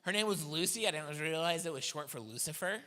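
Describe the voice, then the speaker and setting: High-voiced comedian in a reverberant church hall. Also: male voice, brisk, a little hiss or hum. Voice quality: high voice for a heavy man. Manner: self-deprecating, animated storytelling. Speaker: stand-up comedian. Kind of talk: stand-up comedy set. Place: church hall with live audience.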